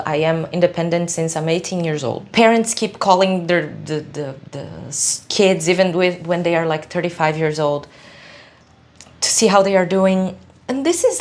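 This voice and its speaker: A woman from Brazil with a Brazilian accent speaking English as a second language, giving blunt, emphatic opinions to the camera with frequent hesitations. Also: on the low side. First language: Portuguese. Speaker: video channel host.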